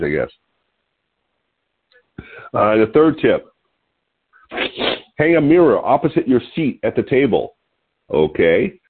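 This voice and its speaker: A man's voice: mid-range voice, slightly rough. Sniffly man in his sixties on a tinny phone line. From California. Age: 60s. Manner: reads aloud with small asides and chuckles. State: nasal and sniffly from allergies. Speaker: host. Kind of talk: reading an article on a call-in show. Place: home room.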